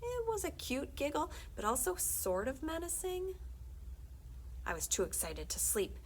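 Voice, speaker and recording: A woman reading a children's book aloud as a librarian, conversational and expressive, often dropping into hushed, confiding tones. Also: high-pitched, measured pace, slight background noise. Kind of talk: animated read-aloud of a children's book with brief asides.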